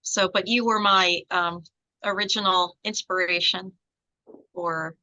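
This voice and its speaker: A woman's voice: fairly low pitch, steady tone, a little slow, pushed and bright, low-quality recording. A middle-aged American woman, a law professor, reminiscing in conversation with many fillers and restarts. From United States.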